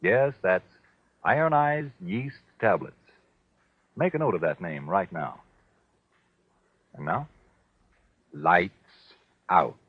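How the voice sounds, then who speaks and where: A man announcing in an emphatic, deliberate, scripted 1940s radio style. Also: sits low, animated, breathy edge, no room sound. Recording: tinny, lo-fi 1940s radio recording.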